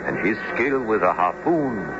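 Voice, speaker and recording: Male actor as learned French professor, formal radio drama storyteller, very animated delivery, muffled band-limited sound. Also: fairly low pitch, normal speed, over loud music, close to an everyday voice. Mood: dramatic, grave. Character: earnest, learned French male professor narrating his sea adventure. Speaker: narrator and actor. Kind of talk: radio drama narration and acting. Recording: noisy, muffled old radio recording.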